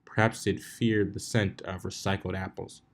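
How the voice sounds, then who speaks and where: Low-pitched man narrating a story. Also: level tone, normal speed, slight background noise. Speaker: fan fiction reader, narrator.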